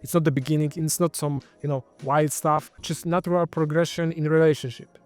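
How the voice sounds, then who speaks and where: A man's voice: a little high, little pitch movement, brisk, a little mellow, soft background music. A man with a Polish accent hosting his own channel, speaking in a loose, wry commentary monologue that often trips and corrects itself. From Poland. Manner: rambling, self-correcting, wry. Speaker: channel host.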